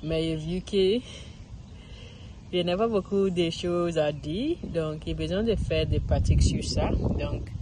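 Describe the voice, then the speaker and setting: A woman's voice: fairly low pitch, slow pace, noisy background. A woman, recording a casual practice monologue outdoors in a park, searching for words and restarting often. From Ghana. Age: 30s. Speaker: vlogger learning French.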